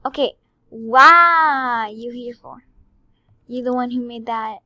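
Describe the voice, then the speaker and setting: A sleepy young girl chats slowly over a game recording and lets her voice sink to a near-whisper now and then. Her voice sits a little high. The sound is dry and close, with mouse clicks heard behind her. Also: no echo, highs cut off. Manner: drowsy, rambling, drifting into whispers. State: tired. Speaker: gaming video creator. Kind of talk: casual gameplay commentary. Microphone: computer screen recording mic.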